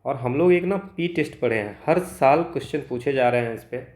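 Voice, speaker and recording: A man's voice: medium pitch, a little fast, darkish tone. An Indian man teaching as a maths exam tutor, explaining solved problems step by step and mixing Hindi with English terms. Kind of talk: instructional walkthrough of solved problems.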